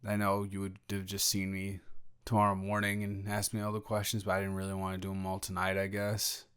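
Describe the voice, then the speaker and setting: A man playing a sheepish, evasive young male roommate in an improvised audio roleplay, halting and rambling as he talks. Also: low-pitched, completely flat delivery, fairly bright tone, clean tone. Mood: subdued, evasive. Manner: halting, rambling, trailing off into restarts.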